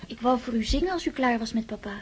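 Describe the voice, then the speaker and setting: An actress playing a dreamy, impulsive, affectionate teenage countess in a Dutch radio drama. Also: female voice, fairly high pitch, expressive, fast talker, husky. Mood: eager, pleading.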